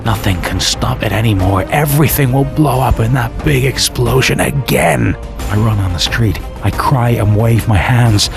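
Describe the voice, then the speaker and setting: An actor playing a damned, vengeful man in a video game, narrating his fate in an intense, brooding, theatrical way. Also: vocal fry. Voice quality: very deep voice. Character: damned, vengeful male antihero, bitter and doomed, recounting his fate. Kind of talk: dramatic first-person game cutscene narration. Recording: loud dramatic music behind.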